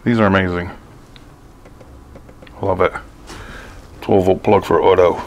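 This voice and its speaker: A man's voice: low voice, fairly even intonation, unhurried, slight background noise. A man in his sixties giving a chatty, upbeat cooking demonstration in his home kitchen, chuckling often, on a lapel mic. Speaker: cooking vlogger. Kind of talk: casual cooking demo. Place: home kitchen, then pickup truck.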